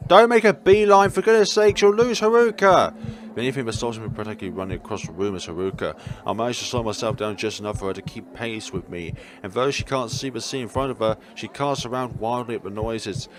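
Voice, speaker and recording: A man reading visual novel narration aloud as a let's player, theatrical but stumbling over words and breaking into jokey asides. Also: fairly low pitch. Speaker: video game let's player. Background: game music.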